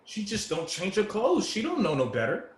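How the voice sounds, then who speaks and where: A man playing an easygoing, good-natured homeless man in a play reading, gentle and appreciative, with folksy street talk, recorded in an echoey room. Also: high voice, huge pitch swings, normal speed. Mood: gossipy. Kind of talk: dramatic table reading of a stage play. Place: indoor room with echo, virtual reading.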